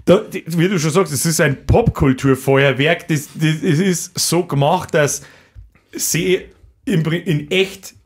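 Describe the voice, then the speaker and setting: A man's voice: fairly high pitch, a little slow, slightly rough. A man with a Bavarian accent chatting casually with friends as a podcast co-host, joking and exclamatory. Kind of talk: casual chat among friends.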